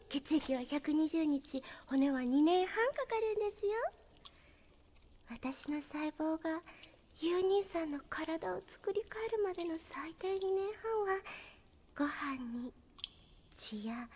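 An actress playing a polite, obsessive teenage little-sister type in an anime drama CD, gentle and chillingly calm in manner. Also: female voice, very high-pitched, slight background noise. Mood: sweet, eerily calm.

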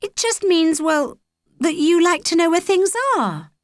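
A woman's voice: very high voice, expressive, measured pace, dry recording, studio quality, voice pushed up. A British woman voicing an earnest, hesitant young girl in a children's audiobook. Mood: explaining. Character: young girl, earnest, unsure, a little anxious.

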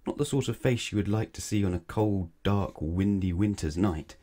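A man's voice: deep voice, normal speed, warm tone. A man reading a children's bedtime story aloud, dramatically, stretching and stressing words. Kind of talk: expressive read-aloud bedtime narration.

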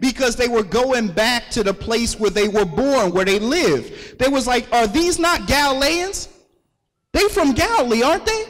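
A man's voice: extremely high pitch, expressive, moderate pace, fairly bright tone. A man preaching a sermon, stopping to call for scripture readings and repeating the verses back to the congregation in a large hall. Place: large hall at a religious gathering. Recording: long echo of a large hall.

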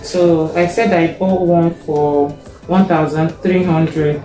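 Nigerian woman in her 20s, slow and unhurried, lots of ums, echoey kitchen. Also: on the low side, fairly even intonation. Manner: unhurried, stops often to check a receipt, many fillers. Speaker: vlogger. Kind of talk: casual grocery haul. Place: home kitchen, indoors. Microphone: fixed camera in front. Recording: echoey kitchen, faint music.

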